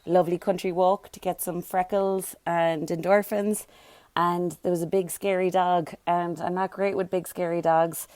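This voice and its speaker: A woman hosts her podcast solo, her voice a little hoarse and pitched on the low side. She rambles in an easy, chatty way, full of fillers and asides. The sound is dry and close, with no room around it. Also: level tone, normal speed, a little mellow, no room sound. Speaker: podcast host. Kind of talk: casual solo podcast chat.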